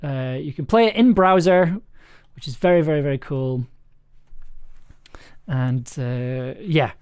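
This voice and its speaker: Live stream host, mid-range voice, informal chat, halting and repetitive phrasing. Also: mid-range voice, a little mellow, clear, resonant tone. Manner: rambling, halting, often repeats words. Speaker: stream host and audio drama writer.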